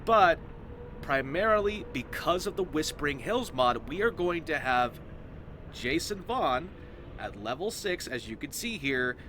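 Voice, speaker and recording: Bright-voiced man explaining over background noise. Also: on the high side, sing-song, a little slow. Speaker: host of a game roleplay series. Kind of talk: casual explanatory video commentary.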